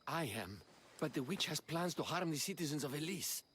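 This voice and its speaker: An actor playing an anxious, dramatic stage performer in a video game, earnest and uneasy in manner. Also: male voice, a little high, expressive, fairly quick, a bit bright, a little hiss or hum. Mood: shaken. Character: anxious, rattled stage actor craving godlike confidence. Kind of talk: video game cutscene acting.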